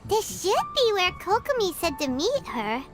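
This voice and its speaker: A woman's voice: very high-pitched, sing-song, a little slow, heavily acted. A woman voicing a small, chirpy female fairy companion in a video game, who talks about herself in the third person. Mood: curious. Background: game music.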